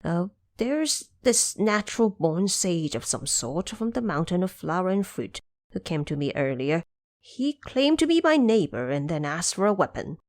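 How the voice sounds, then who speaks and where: A woman's voice: lowish voice, sing-song, normal speed, darkish tone, no echo, clean studio sound. A woman voicing a timid, fearful old male dragon king who pleads and worries, in an audio drama. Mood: worried.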